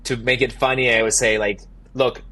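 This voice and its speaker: A man with an Argentinian accent, a startup founder answering podcast interview questions in animated, emphatic bursts. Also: medium pitch, a little fast.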